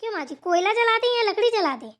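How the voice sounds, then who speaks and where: A woman's voice: very high voice, animated, fast talker, a bit bright. A woman voicing an obliging elder daughter-in-law in a family cartoon, speaking deferentially and agreeably. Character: dutiful, obliging elder daughter-in-law serving her mother-in-law. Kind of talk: amateur Hindi family cartoon dialogue.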